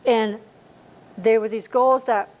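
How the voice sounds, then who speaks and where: A woman's voice: on the high side, fairly even intonation, slow pace, a little mellow. A woman in her fifties preaching a sermon in a conversational way, with many fillers and restarts. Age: 50s. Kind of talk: sermon at a church service.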